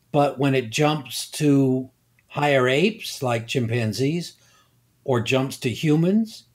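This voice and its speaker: An older man, a guest scientist, explaining slowly and deliberately, pausing and repeating words as he thinks. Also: medium pitch, darkish tone. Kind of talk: unhurried expert explanation.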